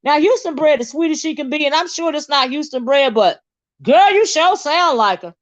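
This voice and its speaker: A woman hosting a casual livestream with sassy, animated, teasing talk and frequent laughter. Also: high voice, normal speed, no room sound, lo-fi sound. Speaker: channel host.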